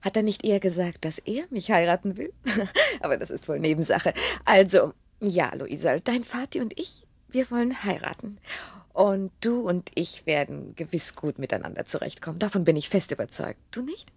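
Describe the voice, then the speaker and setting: An actress in a German radio play, playing an elegant, coolly sweet fiancée in a polished, condescending manner. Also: female voice, medium pitch, very animated, normal speed, creaky, breathy edge, no echo, full character voice. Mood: sweetly condescending. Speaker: actress in an audio drama.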